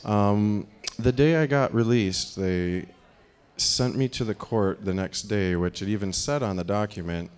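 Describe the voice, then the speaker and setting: A man in his thirties with a deep voice, giving a rambling live talk on a dark stage into a handheld microphone, full of fillers. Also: a little slow, clear, resonant tone. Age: 30s. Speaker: speaker.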